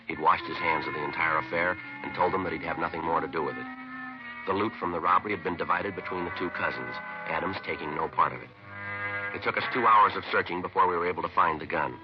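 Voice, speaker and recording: Man acting a police detective, low voice, quick clipped delivery, deadpan, businesslike, vintage radio crime drama, dull old recording. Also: close to an everyday voice. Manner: deadpan, matter-of-fact. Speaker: actor playing the lead detective and narrator.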